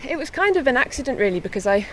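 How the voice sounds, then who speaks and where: A woman's voice: high-pitched, racing. Young woman chatting on an outdoor walk. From born in England, raised in mid Wales. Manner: relaxed, chatty, laughs lightly. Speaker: harpist and guest. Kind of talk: casual walking interview. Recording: faint outdoor noise.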